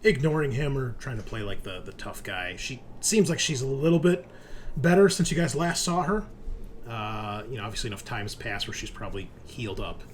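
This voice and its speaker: A man narrating a tabletop game as game master, halting and conversational as he describes the scene. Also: medium pitch, very animated, slight background noise.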